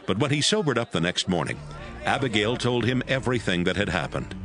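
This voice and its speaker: A man narrating a scripted audio Bible as a story, in a measured storytelling manner. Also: on the low side, sing-song.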